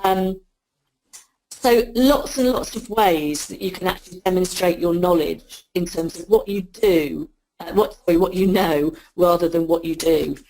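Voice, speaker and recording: A woman with a croaky voice, giving a quick, chatty webinar talk on PR. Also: lowish voice, dark tone, slight background noise, low-quality recording. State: croaky, sore throat. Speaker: PR guest speaker. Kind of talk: webinar presentation.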